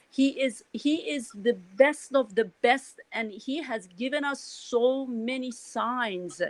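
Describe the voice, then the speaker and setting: Middle-aged woman from Iran, Persian accent, rambling and heartfelt, slightly high voice, dry close mic. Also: dry recording, low-quality recording. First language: Farsi. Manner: earnest, rambling with many restarts and "you know". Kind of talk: casual spoken reflection.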